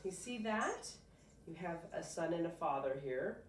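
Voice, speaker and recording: A woman with a fairly low voice reads aloud as a teacher would, with a lively range and unhurried pacing. She stops to explain and ask questions in an encouraging way. The recording is roomy and echoing. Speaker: reading teacher. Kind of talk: read-aloud lesson with questions to a child. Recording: roomy, distant mic, faint noise.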